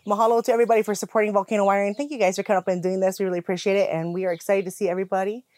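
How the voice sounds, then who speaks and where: A welcoming woman who works at a winery, talking in an upbeat way. She speaks quickly at a middle pitch. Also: little pitch movement, darkish tone, dry recording. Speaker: winery staff. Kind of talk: casual chat.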